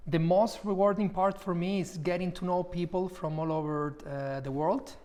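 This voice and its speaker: Spanish-accented young man, pausing often. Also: high voice, fairly even intonation, a little mellow. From Málaga, Spain. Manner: thoughtful, with frequent "uh" pauses. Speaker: property specialist at an agency. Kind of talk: relaxed interview answers.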